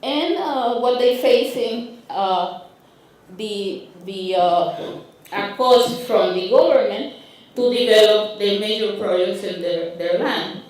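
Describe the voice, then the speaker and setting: A woman from Honduras with a Honduran Spanish accent giving a conference talk with slides, speaking haltingly with frequent restarts and fillers. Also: mid-range voice, a little mellow. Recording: roomy hall, speaker far from mic.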